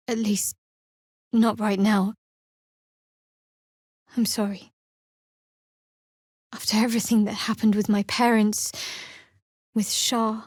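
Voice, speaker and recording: A young female cleric from a fantasy video game, guarded and secretive. Her voice sits on the high side and is recorded close and dry. She speaks in a measured, wry way, with a sardonic edge. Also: no room sound. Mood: soft, earnest. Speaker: video game character voice actor. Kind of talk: video game voice lines, dramatic dialogue and spell calls.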